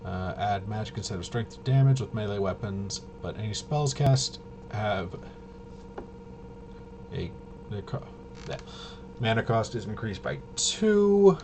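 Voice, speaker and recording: A man with a fairly low voice talks casually through game rules as a reviewer. He is halting and mumbles as he half-reads. The recording has clear noise behind him. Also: lively intonation. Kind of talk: casual off-the-cuff rules walkthrough. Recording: clearly noisy home recording.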